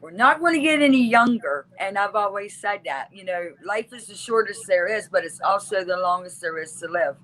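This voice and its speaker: A woman's voice: medium pitch, animated, moderate pace, few pauses, a bit bright, rough recording. A woman from West Virginia speaking casually as a guest on an interview show about her work as a wrestling manager. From West Virginia, United States. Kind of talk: casual conversation.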